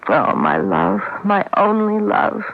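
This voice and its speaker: A woman's voice: medium pitch, huge pitch swings, takes it slowly, creaky, exaggerated voice. An actress playing a witty, insecure wife in a radio drama, teasing and dramatic in her delivery. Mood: loving, wry. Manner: wry, dramatic, affectionate teasing. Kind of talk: old-time radio drama acting. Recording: muffled vintage radio drama.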